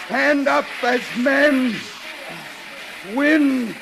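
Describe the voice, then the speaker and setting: A man's voice: extremely high pitch, steady tone, drawn-out words, gravelly, noisy background, exaggerated voice. An actor playing an idealistic, defiant young male outsider, speaking earnestly in a 1950s radio drama style. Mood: rousing, shouting. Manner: earnest, questioning.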